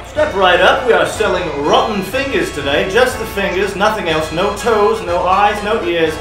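A woman voicing a brisk convention vendor calling out ghoulish wares in an audio drama. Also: mid-range voice, close to an everyday voice. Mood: hawking. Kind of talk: fan-made audio drama acting. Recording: distant, echoey, music behind.

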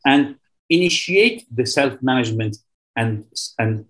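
A man's voice: mid-range voice, animated, measured pace, a bit bright. An older man with an Egyptian accent, giving a formal medical lecture as a professor and stressing his key words. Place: indoors, remote video presentation. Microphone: video call.

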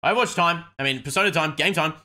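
A young adult man chatting excitedly on a gaming livestream, tossing out short repeated catchphrases. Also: on the high side, fast. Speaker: game streamer.

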